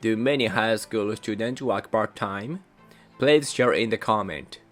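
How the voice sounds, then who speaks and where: A young man from Japan, a native Japanese speaker with a Japanese accent, hosting his channel in measured, careful scripted narration. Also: on the low side. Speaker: channel host. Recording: soft music behind voice.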